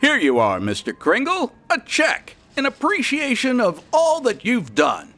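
A man playing a brisk, tight-fisted store boss in a comic radio play, formal and businesslike. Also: extremely high pitch, wildly swooping pitch, pushed and bright, faint music behind, exaggerated voice.

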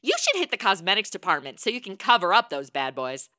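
A woman's voice: mid-range voice, very animated, fast, pushed and bright. An actress playing a blunt, sarcastic, cynical modern woman with a protective streak in a comic radio drama. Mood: mocking. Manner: sarcastic, wisecracking.

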